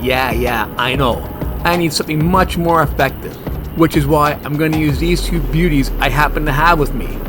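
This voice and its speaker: An actor playing a brash, stubborn, wisecracking monster hunter in a comedy horror audio drama. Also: male voice, on the high side, normal speed, music in the background, natural voice. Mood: cocky taunting. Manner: brash, argumentative, sarcastic.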